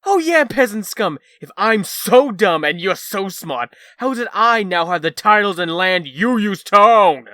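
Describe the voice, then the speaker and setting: A man's voice: high voice, animated, measured pace, hardly any pauses, pushed and bright, no echo, clean studio sound, heavily acted. A man playing a cocky, dim young schemer in a comic dub, brash and pushy. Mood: taunting. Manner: brash, taunting, wheedling. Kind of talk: amateur comic dub acting.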